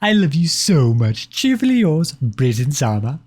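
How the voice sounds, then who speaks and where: A man's voice: fairly high pitch, very animated, unhurried, darkish tone, husky, exaggerated voice. A man voicing a pompous, possessive empire personified as a cloyingly affectionate suitor in a scripted ASMR roleplay, reading out letters with sugary condescension. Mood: gleeful, coaxing.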